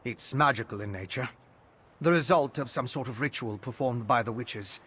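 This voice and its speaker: An actor playing an earnest, inquisitive male investigator in a fantasy video game, speaking in a measured, articulate, studious way. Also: mid-range voice, bright, forceful tone, a little hiss or hum, lightly acted. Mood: grave, explanatory. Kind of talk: video game character dialogue. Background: game music.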